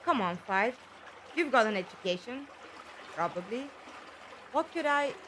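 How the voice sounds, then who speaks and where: A woman acting as a spirited, defiant young heroine in an audio drama. Her high voice swings expressively through earnest, pointed questions, with light ambient noise around it. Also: expressive, a little slow, fairly bright tone, low-quality recording. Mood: anxious, talking to herself. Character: defiant young woman, the Doctor's companion Five.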